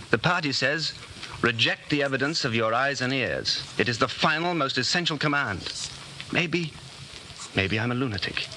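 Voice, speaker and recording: A man's voice: mid-range voice, pushed and bright. A man in a 1940s radio drama playing a weary, fearful dissenter in a dystopia, earnest and brooding in his delivery. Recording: noisy 1940s radio broadcast recording.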